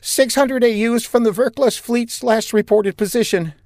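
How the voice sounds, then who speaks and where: Jittery young lieutenant, as a male narrator puts him on, high, strained, very flustered. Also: moderate pace, darkish tone, no echo, clean studio sound. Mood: nervous.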